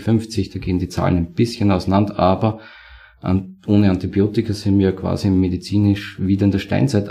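A man's voice: deep voice, creaky, warm tone. A man hosting a podcast interview, asking long, winding conversational questions.

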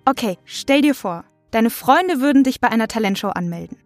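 Host: young woman, fairly high voice, playful informal interviewing style, light music bed. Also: animated, a little fast, no echo. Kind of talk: casual scripted interview questions.

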